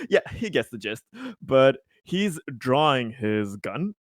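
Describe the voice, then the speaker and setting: A man with a mid-pitched voice chats casually as a player in a tabletop roleplaying game. He narrates his character's moves off the cuff. The sound is close and dry, with no room echo. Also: very animated, slow.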